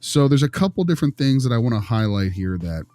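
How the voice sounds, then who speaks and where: A man playing a friendly, tactful school consultant in a role-play, halting and often rephrasing his questions. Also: medium pitch, big pitch swings, warm tone, no room sound, soft background music, close to an everyday voice. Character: friendly, tactful male school behavior consultant probing gently. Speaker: visiting behavior consultant.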